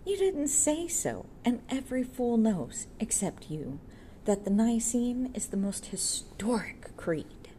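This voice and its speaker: A woman voicing a proud, bitter young workman who declaims loudly and scornfully. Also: fairly low pitch, slow pace, a little hiss or hum. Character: proud bitter young male stonemason, tipsy.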